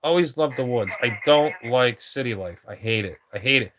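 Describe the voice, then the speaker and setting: A man's voice: medium pitch, lively intonation, normal speed, a bit bright, a little hiss or hum. A middle-aged man chatting casually on a live stream from his home radio room, rambling and complaining and often repeating himself.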